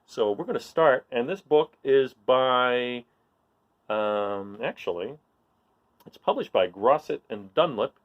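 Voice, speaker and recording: A man reading a children's picture book aloud in an informal, expressive way, putting questions to young listeners and encouraging them. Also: mid-range voice, slow, lo-fi sound. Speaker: read-aloud storyteller.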